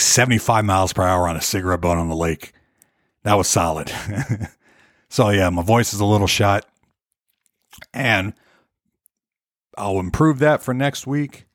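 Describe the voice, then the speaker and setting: A hoarse man hosting his own podcast, chatting loosely and rambling from topic to topic. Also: lowish voice, no room sound, studio quality. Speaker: podcast host. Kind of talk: casual solo podcast rambling. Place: Nashville.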